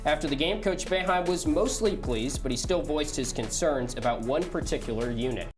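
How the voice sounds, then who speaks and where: A man's voice: on the high side, moderate pace, over music. A man hosting a sports broadcast and commentating on games as a play-by-play announcer.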